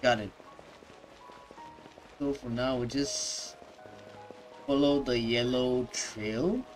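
A man's voice: medium pitch, fairly even intonation, slow pace, soft background music, poor audio. A young man reacting to a game, with brief mumbled asides into a desk mic. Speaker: reaction streamer. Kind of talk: casual gameplay reaction.

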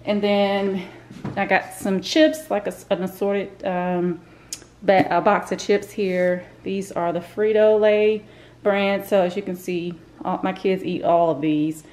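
A woman's voice: fairly low pitch, darkish tone, a little hiss or hum. A woman, rambling casually through a grocery haul in her kitchen, with plenty of fillers and restarts. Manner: chatty and rambling, with many fillers and self-corrections. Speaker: vlogger. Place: home kitchen, indoors. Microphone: camera pointed at the counter, speaker off-screen.

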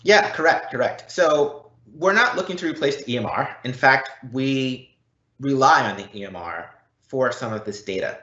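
A man giving an explanatory live online presentation as a technology consultant, often checking in with a quick "right?" or "okay?". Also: medium pitch, expressive, sharp tone. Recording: roomy, slightly dull online event audio.

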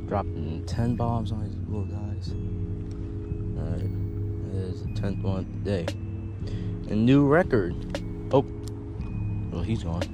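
Laid-back young man fishing a pond. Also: lowish voice. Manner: laid-back, talks to the fish and viewers. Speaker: fishing vlogger. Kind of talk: casual first-person fishing commentary. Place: outdoors at a park pond. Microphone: head-mounted action camera. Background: background music.

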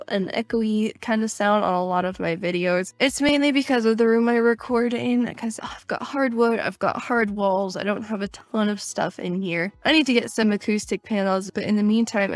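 A young woman in her twenties talks through an editing tutorial, her voice stuffy and congested. She keeps it chatty, upbeat and a little self-deprecating, at a middling pitch. The sound is dry and close. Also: no echo. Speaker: YouTuber teaching video editing. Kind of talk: screen-recorded software tutorial. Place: small bare room with hard floors and walls.

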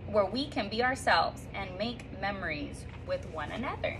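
A woman teacher in her thirties speaks to young pupils in an upbeat, sing-song way, her voice in a middle range. She reads a picture book aloud in a classroom. Steady hiss sits under her voice from a camera a few feet off. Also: mid-range voice, moderate pace, vocal fry. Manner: upbeat and sing-song, reading with lively rises and slips. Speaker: third grade teacher. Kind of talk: welcome message and picture book read-aloud to children. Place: classroom reading corner, indoors. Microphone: phone or camera on a stand a few feet away.

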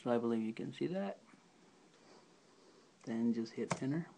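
A man's voice: medium pitch, animated, normal speed, vocal fry, warm tone, a little hiss or hum. A man talking through a casual computer how-to while filming a laptop screen, spelling out commands letter by letter. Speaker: tutorial maker. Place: indoors at a desk with a laptop. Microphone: handheld camera filming the screen.

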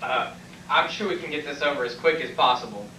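A man's voice: medium pitch, fairly quick, bright, forceful tone, natural voice. A man playing a nervous, evasive 48-year-old in an amateur stage comedy, stammering, hesitating and pleading. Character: flustered, evasive 48-year-old man dodging an army physical. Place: classroom used as a small stage. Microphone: far camera microphone. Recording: distant, echoey, faintly noisy VHS room recording.

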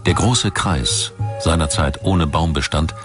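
A man's voice: extremely low pitch, measured pace. A man reading scripted documentary narration as the narrator. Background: music behind the voice.

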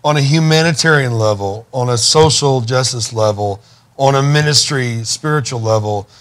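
A middle-aged man hosts a talk show from a studio desk, his voice at a middle pitch. He speaks fervently and stacks phrase on phrase like a preacher. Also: moderate pace. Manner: fervent, preacher-like listing and exhortation. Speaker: host. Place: TV studio set.

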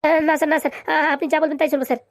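A woman acts out a cowardly grown son in a comic cartoon, in a high, dry voice. He rattles along extremely fast, stammering and pleading. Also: flat delivery, no room sound, close to an everyday voice. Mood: frightened, pleading.

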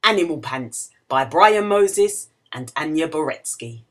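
A woman reading a picture book aloud to young children in an expressive, questioning storytelling manner. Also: low-pitched, unhurried. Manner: expressive, exclamatory, asks the listener questions.

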